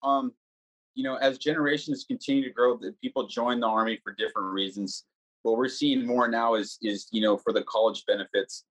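A man from the army answering interview questions in a measured way with frequent fillers. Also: mid-range voice, fairly even intonation, poor audio.

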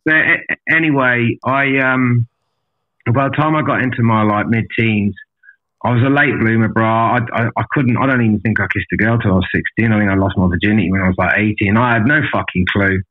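A man's voice: fairly low pitch, a little fast, phone-quality sound. A man telling his story as a podcast guest in a casual, candid, blunt way, with lots of swearing and matey address. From an island outside Australia.

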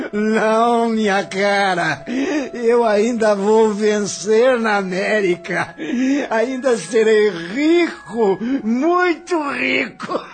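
Haughty, bitter drunkard, high-pitched voice. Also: male voice. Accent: Brazilian. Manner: haughty, scornful, theatrical. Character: bitter, boastful, hard-drinking man with grand ambitions. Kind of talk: radio drama acting.

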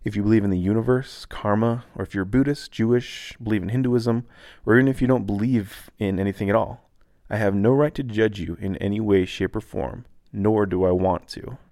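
Calm, deep-voiced laid-back man, very dry sound. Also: brisk, dry recording. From Taiwan. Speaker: podcast host. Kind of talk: scripted personal reflection.

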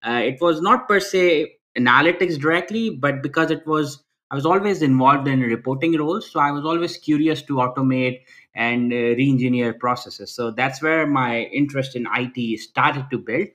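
A man's voice: mid-range voice, normal speed, fairly bright tone. A man, answering interview questions earnestly over a video call, restarting phrases often and ending points with "right?". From Pakistan. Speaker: guest.

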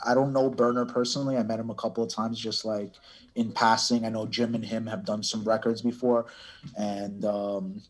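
A man giving casual interview answers, halting and often restarting his phrases. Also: fairly low pitch, level tone, low-quality recording. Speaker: cannabis brand owner, podcast guest.

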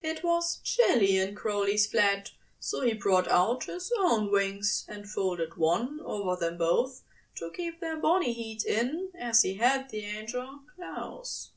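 A woman's voice: fairly high pitch, lively intonation, slow, recorded from a distance, rough recording. A woman reading scripted fiction aloud as the narrator. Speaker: podfic narrator.

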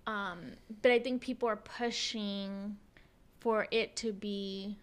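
A woman co-hosting a casual podcast, chatty and quick to laugh, with many fillers. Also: mid-range voice, steady tone. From United States. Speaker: podcast co-host. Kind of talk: casual conversational chat.

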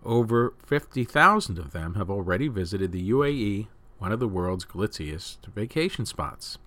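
A man's voice: lowish voice, expressive, normal speed, fairly bright tone. A man reading scripted news stories as the host of a news program.

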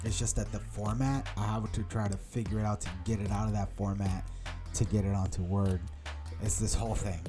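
A man's voice: on the low side, fairly quick, over music. A man in his thirties with an American accent, telling stories as a podcast co-host in casual chat, with many restarts. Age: 30s. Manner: rambling, animated storytelling with many restarts.

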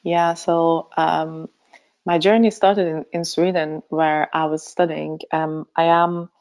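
A woman's voice: deep voice, little pitch movement, takes it slowly, a little mellow. A middle-aged woman from Finland, a pharmacy leader giving an interview, speaking thoughtfully with frequent ums and easy laughs. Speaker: pharmacy association chief executive, guest. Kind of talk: remote interview.

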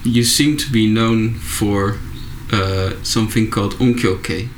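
A man's voice: lowish voice, steady tone, slow. A man whose first language is Dutch, interviewing a guest and asking hesitant questions with frequent fillers. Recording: roomy echo, faint noise.

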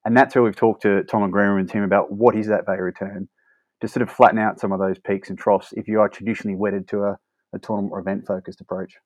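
A man who manages brand partnerships, answering interview questions quickly and fluently, often restarting his phrases. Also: on the low side, flat delivery, darkish tone, no echo, rough recording.

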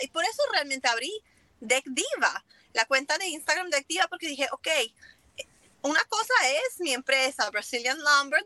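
Bright high-voiced woman with a foreign Spanish accent. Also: no echo. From Italy and Germany. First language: Italian and English. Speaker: interview guest, business owner. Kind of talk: casual conversational interview.

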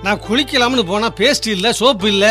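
Timid husband, stammering and placating, an obedient son in a family radio drama. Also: male voice, high voice, quick pace, pushed and bright, faint music behind. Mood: complaining. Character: timid husband, obedient son who wavers before mother.

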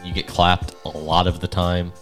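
Casting: man with a lowish voice, enthusiastic, slangy, foul-mouthed podcast host in casual chat, light music behind. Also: flat delivery, moderate pace, a bit bright. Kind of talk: casual chat among friends, game ranking. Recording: background music under the voice.

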